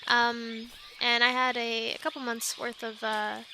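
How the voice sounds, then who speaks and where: Woman acting as plucky young female castaway, high voice, bright tone, chatty and halting, roleplay audio, faint noise behind. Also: rough recording. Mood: awkward, apologetic. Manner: chatty, animated, halting with stammered asides. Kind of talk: ASMR roleplay, conversational one-sided dialogue.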